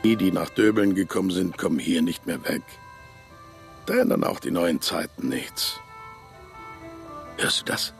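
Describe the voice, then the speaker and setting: Radio drama: low, rough-edged, secretive loner who speaks in hushes. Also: male voice, lively intonation, unhurried, long pauses, soft background music, exaggerated voice. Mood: hushed. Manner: hushed, cryptic, bitter. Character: brooding man stranded in a small town, haunting the river by night.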